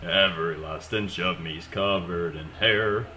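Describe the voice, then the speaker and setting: A man's voice: low-pitched, unhurried, noisy background. A young man chatting casually while playing a video game with friends, joking and stumbling over restarts. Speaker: let's play co-host. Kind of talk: casual gaming chat.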